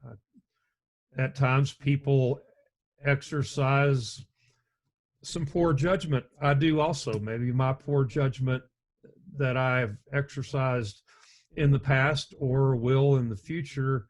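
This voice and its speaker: Slow, deep-voiced male EMS instructor. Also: steady tone. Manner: slow, measured, conversational with frequent restarts. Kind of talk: recorded slide lecture. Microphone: webcam and computer mic on a video call.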